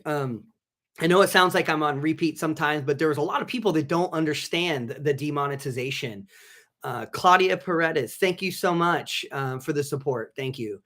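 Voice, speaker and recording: Slightly raspy man chatting on a live stream. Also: on the high side, a bit bright. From Southern California. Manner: rambling, many restarts and fillers, reads chat names aloud. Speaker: physician assistant and channel host. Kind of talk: casual live-stream chat.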